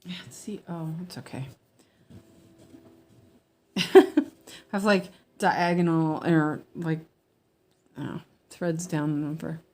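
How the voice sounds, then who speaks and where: American lady rambling on her stitching livestream, kinda low voice, takes her time. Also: steady tone, takes it slowly, long pauses, darkish tone. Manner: rambling and meandering, chatting with viewers. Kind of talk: casual livestream chat while stitching.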